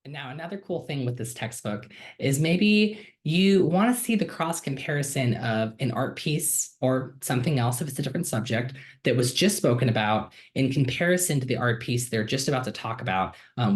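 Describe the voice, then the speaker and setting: A man's voice: mid-range voice, animated, moderate pace, few pauses. A man, a student, giving a casual and enthusiastic screen-share walkthrough of a digital textbook feature, with frequent ums and uhs. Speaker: student giving a software demo.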